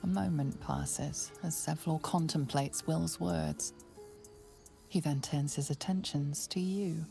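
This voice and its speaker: An actress playing the calm, all-knowing narrator of a fantasy game, with a gravelly, creaky voice. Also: female voice, low voice, slow pace, exaggerated voice. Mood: composed. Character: calm, omniscient female storyteller of a fantasy game. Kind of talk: scripted second-person game narration. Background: music.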